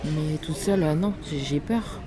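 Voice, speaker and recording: A young woman chats softly in a slightly low voice. She talks casually and hesitantly, often doubling back on her words. There is clear background chatter around her. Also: normal speed. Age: 20s. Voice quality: soft, low-volume voice. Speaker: guest in a chat video. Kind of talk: soft-spoken casual chat.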